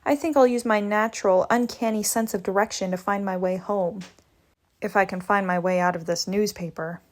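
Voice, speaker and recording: A woman reading a comic book aloud in a lively way, changing her voice for each character. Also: medium pitch, moderate pace. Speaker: read-aloud narrator.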